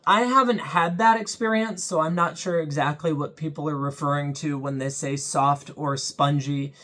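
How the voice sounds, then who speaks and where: A reviewer speaking at a mid-range pitch, walking through a device's pros and cons in a steady, explanatory tone. The delivery is unscripted and measured, with short thinking pauses between points. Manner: measured and explanatory, pausing with ums. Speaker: product reviewer.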